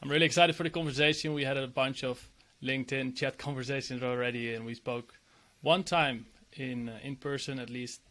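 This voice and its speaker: A man hosting an interview podcast. His voice sits at an ordinary middle pitch, and he talks in an upbeat, chatty way, laughing easily and trailing off softly at the ends of his questions. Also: fairly even intonation, fairly quick, few pauses. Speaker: podcast host. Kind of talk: casual interview with a scripted intro.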